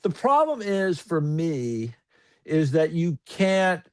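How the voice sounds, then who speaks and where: A man who calls hockey games, chatting casually on a podcast in a rambling, filler-heavy, animated way. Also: a little high, slow, smooth. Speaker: hockey broadcaster guest.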